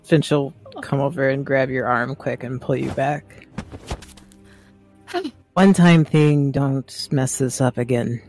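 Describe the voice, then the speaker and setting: A man's voice: mid-range voice, steady tone, takes it slowly, darkish tone. A man playing a tabletop game, talking casually and in character with a blunt, exasperated manner. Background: background music.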